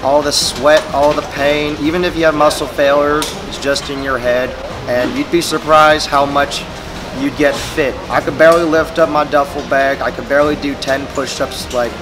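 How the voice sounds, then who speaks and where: A young man from California, an Army recruit giving relaxed, earnest interview answers with fillers and restarts. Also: fairly high pitch, moderate pace, music in the background. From California, USA. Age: young adult. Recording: noisy room with air conditioning hum. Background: air conditioning and room noise.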